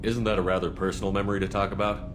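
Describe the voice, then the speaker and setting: An actor playing an earnest, principled human military commander in a video game, with a direct, questioning manner. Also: male voice, low voice, level tone, very fast, noisy background, close to an everyday voice. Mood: curious. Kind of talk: video game dialogue acting.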